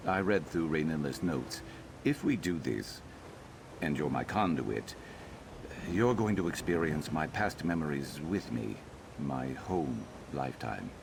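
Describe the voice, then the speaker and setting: A battle-worn male reptilian warrior from a fantasy video game. The voice sits extremely low and rumbles with heavy gravel. He speaks in a measured, dry and guarded manner, like someone who chooses plain words. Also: lively intonation, noisy background, heavily acted. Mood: earnest, hesitant. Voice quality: very deep, gravelly. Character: weary, guarded male Argonian lizard-folk warrior, former slave. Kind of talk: video game character dialogue.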